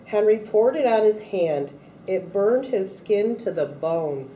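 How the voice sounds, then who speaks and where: A woman reading a children's picture book aloud in a deliberate way, acting out the quoted speech a little. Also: mid-range voice, expressive, dark tone, far from the mic. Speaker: storybook reader at a read-aloud event. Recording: echoey room, off-mic, faint noise.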